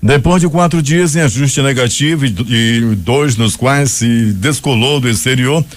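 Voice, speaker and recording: A man's voice: mid-range voice, unhurried. A Brazilian man co-presenting a radio newscast, reading scripted items in a studio. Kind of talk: scripted news reading, alternating with co-host.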